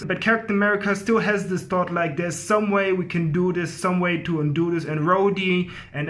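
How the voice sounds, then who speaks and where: Male reviewer, high-pitched voice, informal and enthusiastic, talks like a fan and repeats himself for emphasis. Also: fairly bright tone. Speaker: video movie reviewer. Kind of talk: casual excited movie review.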